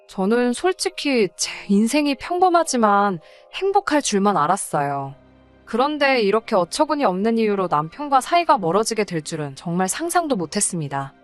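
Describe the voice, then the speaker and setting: Lively woman narrating a personal story. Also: mid-range voice, normal speed, faint music behind.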